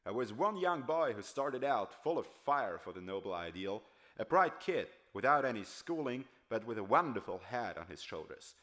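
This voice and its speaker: A man's voice: medium pitch, big pitch swings, moderate pace, fairly bright tone. A man reading a first-person novel aloud in a dramatic, storytelling style. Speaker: audiobook narrator.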